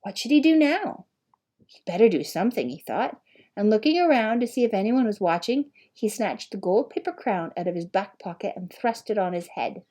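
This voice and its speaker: A woman reading an audiobook in an expressive storybook style, with deliberate, dramatic phrasing and emphasis. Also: mid-range voice. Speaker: audiobook reader.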